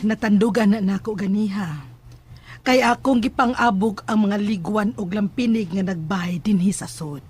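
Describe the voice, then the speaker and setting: A woman's voice: medium pitch, moderate pace, slightly rough, close to an everyday voice. A woman playing a stern, sinister witch-like mother in a horror audio drama, curt and incantatory, with a Cebuano Filipino accent. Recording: loud music under the voice.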